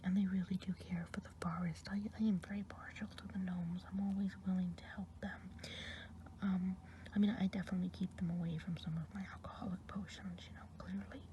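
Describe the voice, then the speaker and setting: A woman whispering softly as a kindly, chatty forest witch healer in an ASMR roleplay, rambling gently and gossiping. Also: fairly low pitch, flat delivery, a little fast, a little hiss or hum, close to an everyday voice. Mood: fond. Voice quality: soft whispering. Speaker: ASMR artist.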